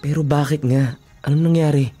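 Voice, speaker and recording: An actor playing a stern, disapproving brother in a Filipino radio drama, impatient and demanding. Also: male voice, a little high, fairly even intonation, a little fast, dark tone, soft background music, close to an everyday voice.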